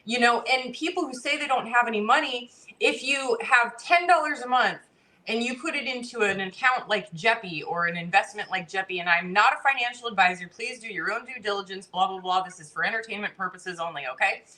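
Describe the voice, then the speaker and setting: Forceful, blunt woman in her forties. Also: a little high, a little fast, low-quality recording. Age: 40s. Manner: emphatic, blunt, lecturing with repetition. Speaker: interview guest. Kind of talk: casual interview.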